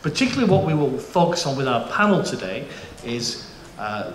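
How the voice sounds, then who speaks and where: A man's voice: medium pitch, sing-song, normal speed. Chatty man in an echoey auditorium. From United Kingdom. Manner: chatty, jokey, frequent restarts and fillers. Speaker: panel moderator. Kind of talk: conference presentation. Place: conference auditorium. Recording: echoey auditorium, faint room noise.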